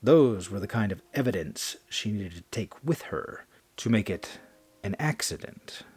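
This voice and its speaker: A man's voice: on the low side, lively intonation, measured pace, darkish tone, breathy edge. A man reading a scripted horror story as narrator, with a theatrical, suspenseful delivery.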